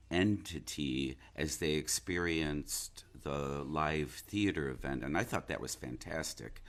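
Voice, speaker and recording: A man speaking reflectively as an interview guest, thoughtful and halting as he searches for his words. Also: rumbling low, a bit bright. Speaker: interview guest, stage actor.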